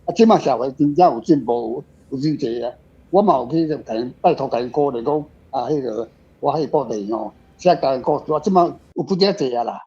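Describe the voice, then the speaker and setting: Husky, mellow older man, slightly muffled. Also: mid-range voice, expressive. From Taiwan. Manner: repetitive, halting phrasing. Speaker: author guest. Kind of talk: informal discussion. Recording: slightly muffled, faint guitar music behind. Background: faint guitar music.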